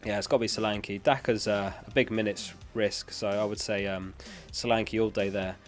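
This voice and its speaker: Low-pitched man hosting a casual livestream. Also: steady tone, normal speed, soft background music. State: losing his voice after being unwell. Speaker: livestream host. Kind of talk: casual live-stream chat, reading and answering viewer questions. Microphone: close dynamic studio microphone.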